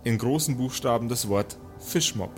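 A man's voice: mid-range voice, moderate pace. Man game-mastering over background music. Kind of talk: improvised tabletop game narration and banter.

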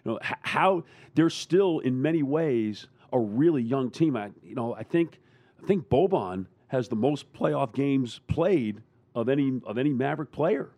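A man in a casual sports roundtable, talking as a panelist in a halting, rambling way. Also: medium pitch, lively intonation, dark tone, no echo.

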